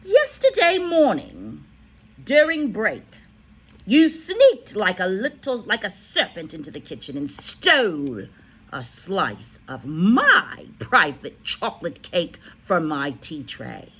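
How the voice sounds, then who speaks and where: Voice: mid-range voice, big pitch swings, very slow, creaky, a bit bright, slightly rough, voice pushed up, exaggerated voice. A reader voicing a huge, tyrannical headmistress who barks and screams insults at a pupil in a storybook reading. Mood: ranting accusation. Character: furious bullying female headmistress, huge and tyrannical. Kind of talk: audiobook character voice.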